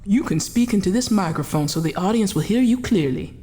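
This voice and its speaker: An actress playing a female coroner at an inquest who questions a witness in a polite, insinuating, quietly commanding way. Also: medium pitch, expressive, a little fast, noisy background, close to an everyday voice. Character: cool, needling female coroner, calmly menacing. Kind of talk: 1940s radio acting.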